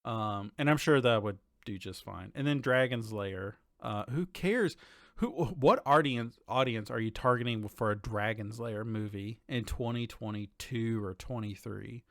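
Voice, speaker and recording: Male podcast co-host, mid-pitched, informal and blunt, very dry studio-like sound. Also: unhurried, no echo. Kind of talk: casual chat about games and shows.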